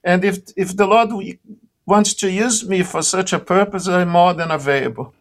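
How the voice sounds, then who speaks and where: A middle-aged man with a Brazilian accent, speaking eagerly and haltingly as a radio interview guest. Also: sits high. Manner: enthusiastic, halting.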